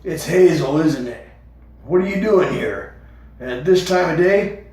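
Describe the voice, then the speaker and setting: A man's voice: fairly high pitch, lively intonation, a little slow, crowd noise, voice pushed up. A man voicing a big, brusque male guard rabbit who speaks bluntly. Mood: gruff, grudging. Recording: echoey room, far from mic.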